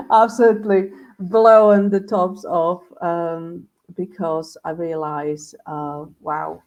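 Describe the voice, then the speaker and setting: A woman speaks slowly and tentatively, with a fairly low voice. She pauses often on fillers and feels her way through each thought in relaxed conversation. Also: poor audio. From Slovakia. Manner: hesitant, many ums, nervous laughs. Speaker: guest, end of life doula facilitator. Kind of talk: casual conversation.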